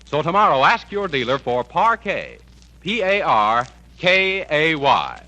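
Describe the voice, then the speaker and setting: A man's voice: fairly high pitch, very animated, a little slow, low-quality recording. A man announcing in a 1940s radio commercial style. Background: loud music.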